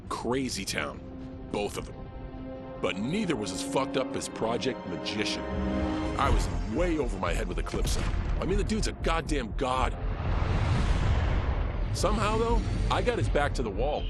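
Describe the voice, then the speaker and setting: An actor playing a brash, foul-mouthed, zealous vigilante, blunt and cocky, in a game monologue. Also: male voice, mid-range voice, sing-song, normal speed, pauses often, natural voice. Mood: cocky, defiant. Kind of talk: colloquial scripted game cutscene monologue. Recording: loud music under the voice.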